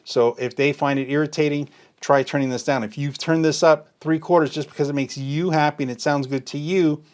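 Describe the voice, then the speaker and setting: A man's voice: on the high side, steady tone, fairly quick. Man explaining audio gear setup. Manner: explanatory, uses everyday analogies. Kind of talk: hands-on product tutorial.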